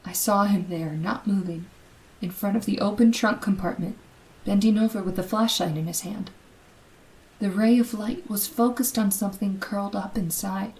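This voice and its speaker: A woman's voice: mid-range voice, lightly acted, rough recording. An actress playing a frightened young woman held captive by a killer, telling her story in a suspenseful old-time radio horror drama. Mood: hushed dread. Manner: breathy dramatic suspense, hushed and halting when afraid.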